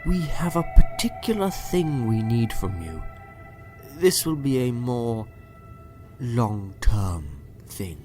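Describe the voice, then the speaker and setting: A man's voice: mid-range voice, sing-song, slow pace, warm tone, soft background music. A man playing a sinister doctor in an audio drama, politely menacing and condescending, sometimes murmuring. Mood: falsely courteous.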